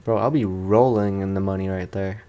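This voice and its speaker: A man's voice: low-pitched, steady tone, normal speed, mellow. Casual slangy male gaming streamer. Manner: slangy, informal, repeats phrases. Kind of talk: casual let's play commentary.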